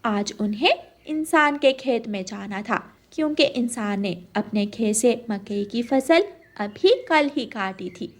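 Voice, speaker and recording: A woman storyteller reads a scripted cartoon tale for children. Her voice sits high, and she tells it as narration. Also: expressive, slow.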